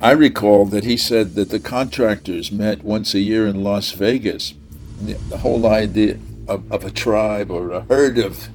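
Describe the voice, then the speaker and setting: An older American man from New Jersey hosting a casual, rambling conversation, often halting to search for words. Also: on the low side, darkish tone, faint music behind. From New Jersey, USA. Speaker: podcast host and sound designer.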